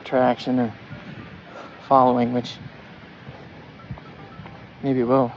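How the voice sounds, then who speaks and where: A man of forty talking casually in a halting, self-deprecating way, thinking aloud as he rambles through a vlog. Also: medium pitch, monotone, faint music behind. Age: 40s. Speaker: vlogger. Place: outdoors, walking along a neighborhood street. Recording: dull phone audio, noisy street ambience with traffic.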